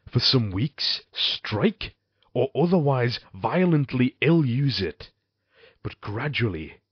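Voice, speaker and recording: An actor playing a brooding, cruel married man haunted by guilt, narrating a gothic audio drama in formal, theatrical phrasing with a husky voice. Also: mid-range voice, no echo, lo-fi sound. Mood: bitter loathing.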